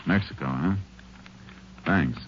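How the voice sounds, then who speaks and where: An actor playing a steady, shrewd Texas Ranger who speaks and narrates in a terse, authoritative way in an old radio drama. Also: male voice, a bass voice, slow pace, dull audio. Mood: firm, probing. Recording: noisy, band-limited old radio recording.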